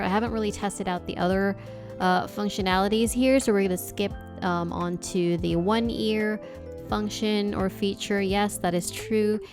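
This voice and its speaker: A woman giving a casual product review as the host of an unboxing video. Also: mid-range voice. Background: background music.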